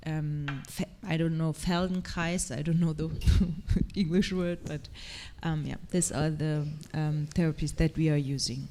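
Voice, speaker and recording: Lady doctor on a panel, lowish voice, lots of ums, hunting for words. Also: steady tone, measured pace, few pauses, warm tone, a little hiss or hum. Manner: hesitant, searching for English words. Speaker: physician and lecture panelist. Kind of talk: panel discussion.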